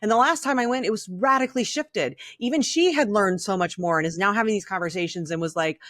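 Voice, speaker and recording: A woman's voice: medium pitch, fast, a bit bright, no echo, clean studio sound. A middle-aged woman co-hosting a podcast, talking candidly and casually in an opinionated, blunt way and swearing freely. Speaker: podcast co-host.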